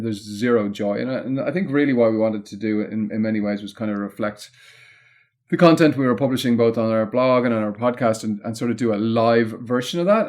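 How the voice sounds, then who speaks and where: A man with an Irish accent and a fairly low-pitched voice talks in a casual interview style. He is discursive, building his points aloud as an experienced guest would. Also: darkish tone. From Ireland. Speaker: podcast guest, content marketing consultant.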